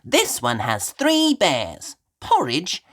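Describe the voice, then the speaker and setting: A woman's voice: low-pitched, wildly swooping pitch, slow, pitched above the performer's own voice, full character voice. A woman voicing a cheerful, imaginative little girl pig in a children's storybook, eager and sing-song. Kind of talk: read-aloud children's storybook voice.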